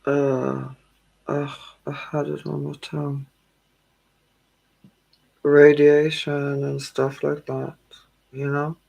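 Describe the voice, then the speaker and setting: Slow, gravelly-voiced tired woman. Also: deep voice, highs cut off. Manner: slow, drowsy, calming delivery. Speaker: tarot livestream host. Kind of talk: casual livestream chat with viewers.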